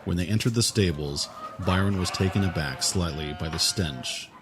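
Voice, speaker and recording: A man's voice: low-pitched, moderate pace, background noise. A man narrating fantasy fiction as a scripted audiobook. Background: music.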